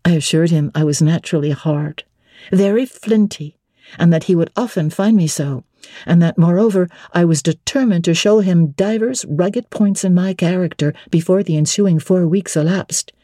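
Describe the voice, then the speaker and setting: A woman narrating classic literature as a dramatic audiobook, in a measured, expressive and formal manner. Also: on the low side, no room sound, studio quality.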